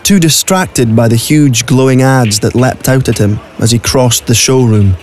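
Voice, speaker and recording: A man's voice: medium pitch, moderate pace, darkish tone, a little hiss or hum. A man reading scripted narration for an audio drama.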